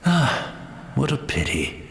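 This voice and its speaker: An actor playing a frail, courteous old blind man in a video game, speaking in a gentle, formal, old-fashioned way with sighs and pauses. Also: medium pitch, sing-song, gravelly, echoey room, heavily acted. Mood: resigned. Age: older. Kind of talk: scripted video game voice acting.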